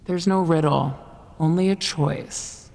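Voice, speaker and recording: A cryptic she-monster with a low, gravelly voice. She speaks in short, measured riddles and stays coolly unhelpful. Long reverb surrounds her, with faint noise underneath, as in a horror audio drama. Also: a little mellow, full character voice.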